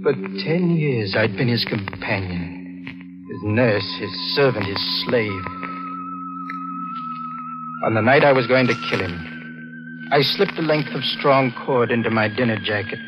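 A man's voice: medium pitch, animated, measured pace, pauses often, highs cut off, lightly acted. A tormented young man, played by a male radio actor bares a murderous conscience in a 1940s thriller. His delivery is intense and overwrought, with prominent music running behind him on an old recording. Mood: brooding confession. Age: young adult.

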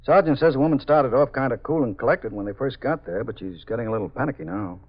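A man with an American accent playing a brisk, efficient desk lieutenant, clipped and businesslike, in a 1950s radio drama. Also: mid-range voice, natural voice. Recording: muffled 1950s radio recording.

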